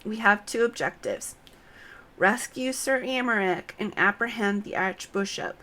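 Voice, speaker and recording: A woman voicing a resolute female knight commander in a fantasy game, formal and measured as she briefs her troops. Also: mid-range voice, sharp tone. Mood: resolute, commanding. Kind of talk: read-aloud fantasy game dialogue.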